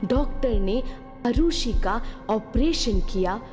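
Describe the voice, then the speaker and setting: A woman tells a scripted horror tale as a dramatic narrator. Her voice sits fairly high and moves slowly, with music playing clearly behind it. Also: animated, darkish tone. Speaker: story narrator. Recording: long reverb, music behind.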